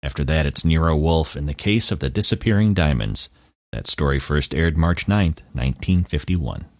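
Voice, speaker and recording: A man's voice: very deep voice, lively intonation, normal speed, no room sound. A man giving a scripted introduction as a podcast host. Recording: dry close studio, music underneath. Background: music.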